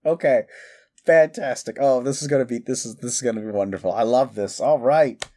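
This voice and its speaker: A man playing a gleeful, mischievous accomplice in a comedic audio roleplay, chatting excitedly with hesitant asides. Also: medium pitch, brisk, lightly acted. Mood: delighted, amused. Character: gleeful, mischievous young man, amused accomplice in dark prank.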